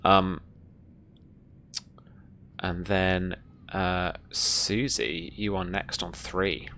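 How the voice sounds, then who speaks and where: Game master for tabletop sessions: very low, gravelly voice, conversational descriptive delivery, slight background noise. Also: little pitch movement, very slow, bright, forceful tone. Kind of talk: narrating a tabletop role-playing game.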